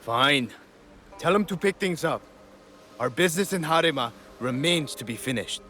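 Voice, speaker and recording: A terse schemer speaks with a sharp, tense tone. Faint background noise hangs behind him. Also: male voice, fairly high pitch, expressive, normal speed.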